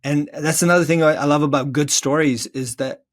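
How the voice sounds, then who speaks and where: A man's voice: fairly high pitch, fairly even intonation, brisk. A man speaking as an interview guest, reflecting in an earnest, thoughtful and explanatory way. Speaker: interview guest, therapist and author.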